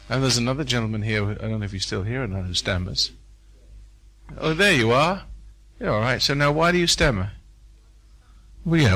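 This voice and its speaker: A man's voice: mid-range voice, a bit bright, rough recording. Older man preaching to a seminar crowd. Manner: folksy, preacherly, repeats phrases for emphasis. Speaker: seminar speaker. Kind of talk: live seminar talk with audience. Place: hotel function room.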